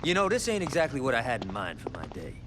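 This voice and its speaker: An actor playing a cocky, foul-mouthed gang lieutenant in a video game cutscene, talking with profane wisecracks. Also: male voice, medium pitch, huge pitch swings, fast, noisy background. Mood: scheming. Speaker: game character.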